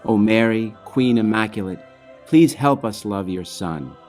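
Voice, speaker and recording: Male reader for children's rhyming picture book: slow and measured, lively melodic delivery, light music underneath. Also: lowish voice. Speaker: author reading own children's book. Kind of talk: storybook verse reading.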